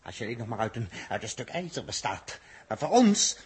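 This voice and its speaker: A defiant, breathy young crewman. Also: a little fast. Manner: sarcastic and indignant, with lively swings of pitch. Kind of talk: 1960s radio drama acting.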